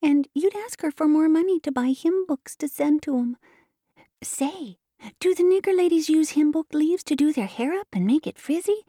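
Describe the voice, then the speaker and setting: A woman's voice: sits high, expressive, normal speed, mellow, dry recording, studio quality, pitched above the performer's own voice. A woman voicing a chatty, guileless little girl who blurts out tales in a storybook reading.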